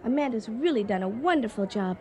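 Scatterbrained female hostess, highish lively voice, nervous rambling, guileless, comedic radio drama. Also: brisk.

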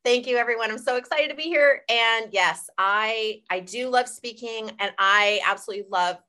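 A woman's voice: on the high side, normal speed, bright, forceful tone. A woman in her forties, a speaking coach, introducing herself to a group in an earnest, encouraging way with a touch of self-deprecating humor. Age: 40s. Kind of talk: guest self-introduction on a call.

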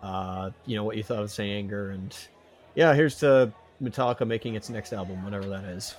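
A man co-hosting a podcast, chatting casually and putting questions in a halting, rambling way. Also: on the low side. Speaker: podcast co-host. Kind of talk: casual chat, asking questions.

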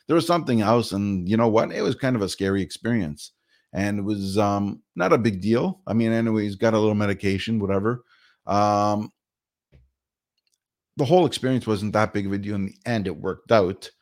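A middle-aged man from Canada telling a personal story in a casual, rambling vlog, full of fillers and restarts. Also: on the low side. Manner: rambling, chatty, many fillers. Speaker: vlogger.

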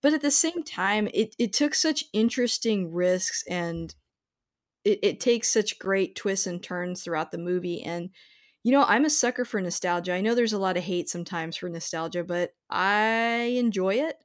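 A woman's voice: medium pitch, normal speed. Enthusiastic woman hosting a casual film chat. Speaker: podcast host. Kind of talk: casual chat about films.

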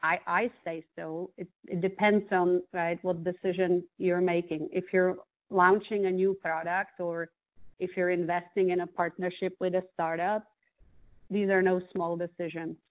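A woman's voice: on the low side, little pitch movement, normal speed. Czech-accented woman on a narrow line. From Czech Republic. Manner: thoughtful, many restarts and fillers. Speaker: guest. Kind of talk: interview conversation. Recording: phone-like narrow band.

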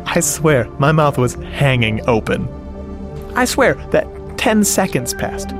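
A man's voice: fairly high pitch, very animated, takes it slowly, over music. An actor playing a lovestruck, self-doubting audio geek, narrating his romance in short, confiding phrases for a radio drama. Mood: stunned, eager.

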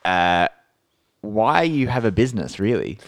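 A man co-hosting a casual podcast chat, a little hoarse from a fading cold, chatty and quick to laugh. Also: fairly low pitch, very animated, no echo, studio quality. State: hoarse, recovering voice. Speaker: podcast co-host.

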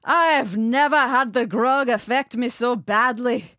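A woman voicing a demon-hunting adventurer who has just sobered up, talking in a gruff, exclaiming way. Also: fairly high pitch, measured pace, fairly bright tone, dry recording, studio quality.

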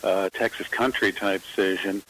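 Man, lowish voice, radio panelist, casual reminiscing, halting and thoughtful. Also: fairly even intonation, fairly bright tone, a little hiss or hum, poor audio.

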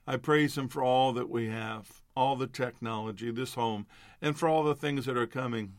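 An older man with a stuffy, nasal voice leads a heartfelt prayer, earnest and steady, building to fervent declarations. The sound is dry and close, with no room around it. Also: medium pitch, normal speed, no echo. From New York. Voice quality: nasal from allergies. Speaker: minister. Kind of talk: devotional prayer and exhortation.

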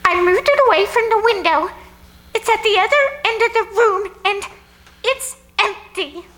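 Woman acting a very high-pitched, frightened woman. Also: normal speed, pushed and bright. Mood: anxious. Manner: pleading, fretful and dramatic. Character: frightened, distressed woman at her wits' end. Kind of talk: live old-time radio suspense acting.

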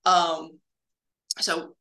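A woman telling casual, humorous stories as a podcast guest, animated and ready to laugh. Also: on the low side, very slow, gravelly, reverberant. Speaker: guest, medical laboratory professional.

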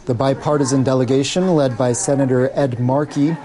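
A man's voice: medium pitch, fairly even intonation, a little fast, dark tone. A man reading a scripted news bulletin on the radio, with faint noise on the recording.

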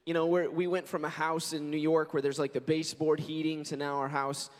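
A man in his forties, a pastor, preaching a sermon in an earnest, conversational way and telling stories, his voice hoarse and scratchy. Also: on the high side, little pitch movement, fairly quick. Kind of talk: sermon with prayer and scripture reading. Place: church sanctuary. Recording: long reverb of a large church hall.

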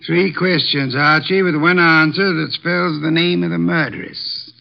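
An actor playing an imperious older male genius detective, speaking in a pompous, deliberate manner with clipped commands, in 1950s radio drama style. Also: fairly high pitch, creaky. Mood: coolly deductive. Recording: dull lo-fi 1950 radio transcription.

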